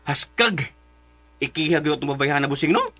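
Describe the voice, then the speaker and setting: Radio drama casting: folksy sidekick, higher male voice, grumbling yet sympathetic, exclamatory. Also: animated, a little fast, pushed and bright, heavily acted. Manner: exclamatory, colloquial.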